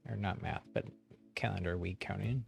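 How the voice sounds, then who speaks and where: A man chatting casually on a game livestream, thinking aloud in a halting way. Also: low voice, gravelly, soft background music. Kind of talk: casual live gaming chat.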